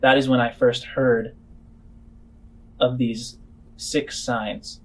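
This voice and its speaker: A man voicing a weary, secretive halfling woman spymaster in a tabletop game, speaking in measured, formal phrases. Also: medium pitch, little pitch movement, rough recording. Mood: grave, measured.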